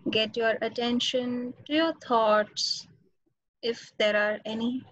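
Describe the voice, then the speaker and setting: A woman meditation teacher with an Indian accent speaks in a fairly high voice. Her instructions come slowly, separated by long pauses. The sound is dry and a little dull, as if over a webinar call. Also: little pitch movement, a bit bright, dry recording. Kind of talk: guided meditation. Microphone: video call. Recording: dull, muffled webinar audio.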